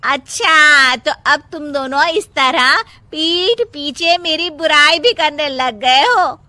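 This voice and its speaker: A woman voicing an older, old-fashioned mother-in-law, bitter and melodramatic, with a sharp nagging manner. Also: high-pitched, animated, takes it slowly, no room sound, voice pushed up, heavily acted. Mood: accusing.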